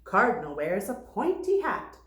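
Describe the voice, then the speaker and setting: Woman teacher, children's read-aloud, very animated intonation, slow deliberate storytelling, echoey room. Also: medium pitch. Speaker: teacher reading aloud to young pupils. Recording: roomy, echoing sound.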